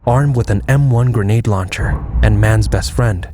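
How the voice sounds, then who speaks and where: A man playing a stoic, fatalistic Navajo army air force lieutenant in an audio comic, solemn and measured. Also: lowish voice, mellow, slight background noise, natural voice. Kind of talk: dramatic first-person narration and dialogue in an audio comic.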